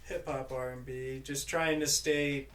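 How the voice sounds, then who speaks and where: A young man guest on a podcast, talking in a laid-back, slangy, low-key way in a home studio. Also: steady tone, a little slow, fairly bright tone, roomy sound. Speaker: guest musician. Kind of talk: casual chat.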